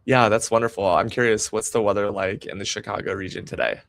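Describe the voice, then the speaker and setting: Upbeat male host with a gravelly edge. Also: fairly low pitch, fairly even intonation, fast talker, fairly bright tone. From United States. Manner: upbeat, promotional, eager. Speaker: podcast host. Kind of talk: live-streamed interview show.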